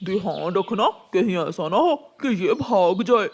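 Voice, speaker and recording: A woman voicing a sneaky, cowardly male crow villain in a cartoon, urgent and hurried. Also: medium pitch, animated, pitched below the performer's own voice. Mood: furtive.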